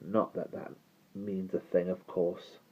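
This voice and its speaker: Guy doing a mild english boy, soft and hesitant, storybook reading, muffled phone-ish audio. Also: low voice, level tone, slow, breathy edge, full character voice. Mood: weak, breathless. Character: English boy, mild, curious, easily winded. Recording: muffled, phone-like narrow band.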